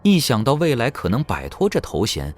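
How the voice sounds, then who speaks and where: A man's voice: mid-range voice, huge pitch swings, a little fast, a little hiss or hum. A man narrating an audio drama in a dramatic, scripted storytelling style. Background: background music.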